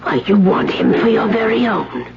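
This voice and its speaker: Knowing woman, needling, creaky, swooping pitch, 1970s radio drama, noisy recording. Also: expressive, moderate pace. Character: knowing, needling village woman who sees through her friend. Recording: noisy, muffled old radio broadcast.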